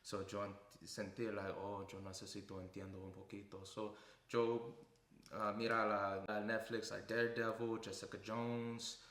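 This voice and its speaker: A man hosting a podcast, talking in a lively, jokey way and mixing broken Spanish into his English. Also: lowish voice, moderate pace, echoey room. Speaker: podcast host. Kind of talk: casual interview chat.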